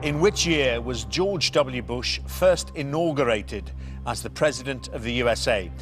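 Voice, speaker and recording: A man's voice: medium pitch, lively intonation, a bit bright, soft background music. Jovial man hosting a TV quiz. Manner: jovial, reads questions deliberately, chuckles at his own puns. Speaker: quiz show host. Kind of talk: TV quiz hosting, scripted intro and banter.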